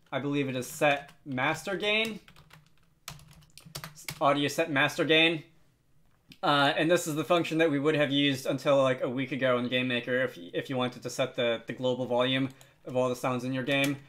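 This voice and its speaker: Bright-voiced man narrating a software tutorial. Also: mid-range voice, normal speed. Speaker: tutorial video host.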